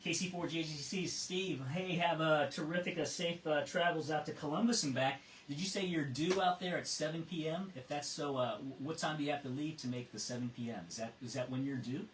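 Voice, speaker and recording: A man's voice: fairly high pitch, a little fast, hardly any pauses. A man casually hosting an amateur radio net as net control, sometimes repeating his words. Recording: roomy, distant, with background noise.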